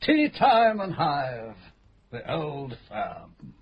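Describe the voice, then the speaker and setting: An actor playing a dapper ageing rogue turned private eye, theatrical and florid, in a radio drama. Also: male voice, fairly high pitch, wildly swooping pitch, extremely slow, dull audio, full character voice. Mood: jaunty. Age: older. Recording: old radio play with crowd behind.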